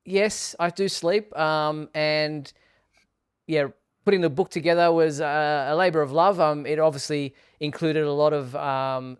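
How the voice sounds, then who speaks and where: A man hosting a live show, talking casually and haltingly in short phrases with pauses. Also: on the high side, clean tone.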